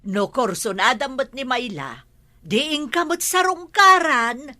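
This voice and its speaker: A woman's voice: high-pitched, animated, a little slow, sharp tone. A middle-aged woman with an Ilocano accent, playing a mother in a comedy drama, scolding and advising.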